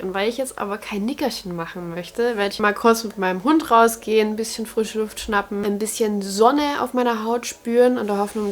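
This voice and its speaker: A woman in her twenties talking casually and directly to her viewers, upbeat and chatty, filmed at home. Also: medium pitch. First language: German. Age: 20s. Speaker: vlogger. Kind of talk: casual vlog to camera. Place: at home indoors.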